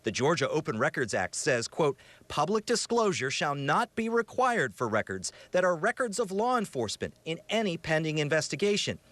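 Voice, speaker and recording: A man's voice: on the high side, very animated, normal speed, hardly any pauses, fairly bright tone, no echo. An American man reading scripted investigative news as a television reporter, with a serious, emphatic broadcast delivery.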